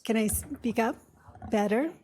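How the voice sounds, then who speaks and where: A woman in her fifties with a low voice, giving a conversational seminar talk as a nurse practitioner with storytelling asides. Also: measured pace, darkish tone, slight background noise. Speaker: guest speaker, nurse practitioner. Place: lecture room.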